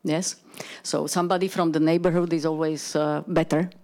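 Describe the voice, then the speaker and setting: A woman with a Slovak accent speaks as a corporate panellist. Her voice stays very level, with little rise or fall. She phrases her career story in a measured, deliberate way. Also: low-pitched, level tone, warm tone.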